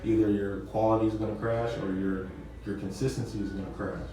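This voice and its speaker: A man's voice: lowish voice, level tone, fast talker. A man talking about his music production work in a casual interview, laid-back and repeating "you know what I'm saying.". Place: loud party venue. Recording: echoey, distant mic, crowd behind.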